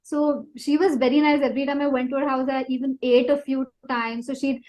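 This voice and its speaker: A woman's voice: high voice, little pitch movement, fairly quick, a little mellow, low-quality recording. A woman with an Indian accent, a singer chatting informally as a guest and telling anecdotes in a gushing, appreciative way. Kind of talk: casual chat, telling anecdotes.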